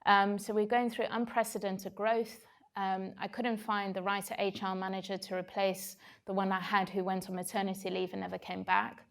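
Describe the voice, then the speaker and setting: A woman's voice: medium pitch, level tone, moderate pace, creaky. A woman in her forties from South Africa with a South African accent, giving a candid personal talk to an audience, reflective and full of "um" fillers. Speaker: speaker sharing her story on stage.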